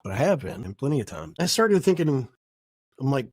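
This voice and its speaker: A middle-aged podcast host sounds stuffy and nasal from a cold. He muses aloud in a loose, wandering way, doubling back on his words. His voice sits at an ordinary pitch in a dry, close recording. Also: big pitch swings, a little fast, a little mellow, breathy edge, no echo. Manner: reflective, rambling, self-correcting. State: has a cold. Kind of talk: casual podcast monologue.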